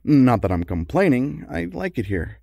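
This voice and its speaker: An actor playing a worried, long-suffering husband of a secret superheroine in an audio comedy drama. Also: male voice, mid-range voice, big pitch swings, normal speed, vocal fry, dark tone, no room sound, clean studio sound. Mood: wry, worried.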